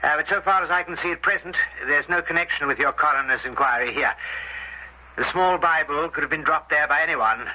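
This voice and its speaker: An actor playing a measured, matter-of-fact police inspector in a radio drama, formal and deliberate. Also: male voice, on the high side, sharp tone, lo-fi sound. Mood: formal, careful. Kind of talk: 1970s radio drama acting and narration.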